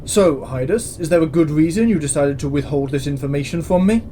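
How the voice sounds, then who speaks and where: A man playing a stern, measured space station commandant in a science-fiction audio drama, formal and dryly authoritative. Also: a little high, mellow, a little hiss or hum, natural voice. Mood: stern, wry.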